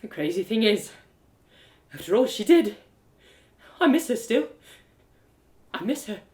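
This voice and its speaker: A woman's voice: medium pitch, big pitch swings, a little slow, darkish tone, voice pushed up. A woman playing a bitter, wounded young man in a dramatic audio story, brooding and anguished. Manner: brooding, anguished, matter-of-fact. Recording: roomy, echoey live reading.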